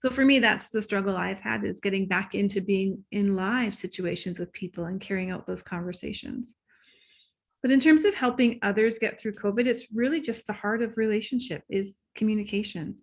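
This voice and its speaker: A woman relationship coach chatting as an interview guest, her voice sitting at an ordinary middle pitch. She gets excited and laughs easily. She sometimes loses her thread mid-answer. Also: fairly quick. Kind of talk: casual interview talk.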